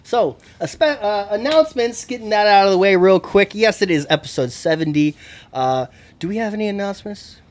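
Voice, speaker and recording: Chatty podcast host man, slightly high voice. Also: lively intonation. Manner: joking, chatty, with quick asides. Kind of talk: casual podcast chat. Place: indoor recording room.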